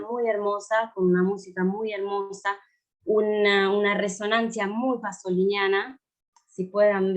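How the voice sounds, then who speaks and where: A woman in her fifties from Italy, speaking Spanish with an Italian accent and some Buenos Aires flavour, reflecting hesitantly with many fillers as a guest writer on a video call. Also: medium pitch. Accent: Italian, living in Buenos Aires. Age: 50s. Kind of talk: thoughtful conversation in a writing workshop.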